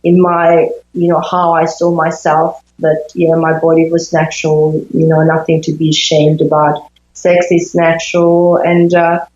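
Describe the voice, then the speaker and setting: A woman in her fifties from Sweden, a native Swedish speaker, giving reflective interview answers with thoughtful pauses in a conversational style. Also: sits low, monotone, a little mellow, reverberant, muffled recording. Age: 50s. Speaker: interview guest, intimacy coach.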